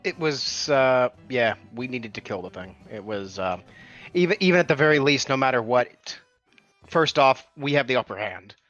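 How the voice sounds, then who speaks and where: A man's voice: medium pitch, animated, normal speed, fairly bright tone, dry recording, faint music behind. Brief: male tabletop player making a firm case, lo-fi dull mic, dry and close. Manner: argues his point firmly with many fillers. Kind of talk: casual chat during an online game session.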